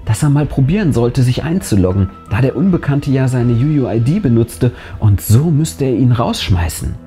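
A man's voice: mid-range voice, sing-song, normal speed. A man reading a scripted first-person horror story aloud in a dramatic, suspenseful way. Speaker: narrator and channel host. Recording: music bed behind the voice. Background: background music.

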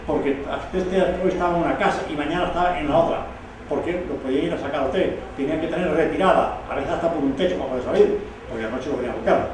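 Older cuban guy, ex rebel soldier, stresses things twice, echoey room, hiss behind. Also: fairly high pitch. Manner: emphatic, repeating for stress. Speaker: former rebel soldier interviewed. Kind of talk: recollecting in an interview. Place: living room, indoors. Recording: very echoey room, far mic, some hiss.

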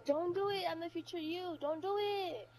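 A high-pitched female prank caller speaks in a deadpan, repetitive manner. She sounds improvised and casual, coming through an online party chat on a headset. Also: normal speed. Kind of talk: prank phone call, improvised.

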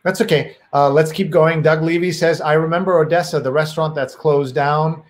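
A male host talking over a video call, his voice sitting a little high for a man. He asks probing questions with curiosity and reads viewer comments aloud between them. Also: steady tone, brisk. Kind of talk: casual interview questions.

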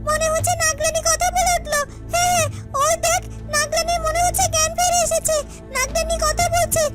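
A performer voicing a devoted, excitable young female serpent attendant in a fairytale cartoon, eager and repetitive. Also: very high-pitched, fairly quick. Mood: excited. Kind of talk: Bengali fairytale cartoon voice acting. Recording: loud music under the voice.

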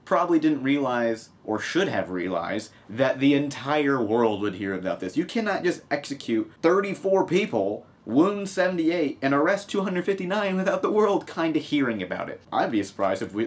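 Young adult man, from the US, casual vlog host, humorous news commentary, sarcastic asides, medium-pitched voice, home desk recording. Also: very animated, normal speed, few pauses. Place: small home room with desk, indoors.

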